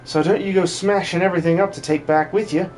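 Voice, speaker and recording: A man's voice: high-pitched, fairly even intonation, fast talker, a little mellow, slight background noise, lightly acted. A man voicing a hearty, plain-spoken farmer with a folksy, welcoming manner in a game dub. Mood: hearty, grateful.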